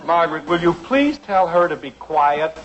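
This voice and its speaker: Exasperated, animated 1950s radio dad. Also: male voice, fairly high pitch, moderate pace, a crowd in the background, noisy background. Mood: irritated. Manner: exasperated, sarcastic. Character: exasperated middle-aged suburban father, grumbling and short-tempered. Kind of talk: 1950s radio sitcom acting. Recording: dull vintage radio recording with music.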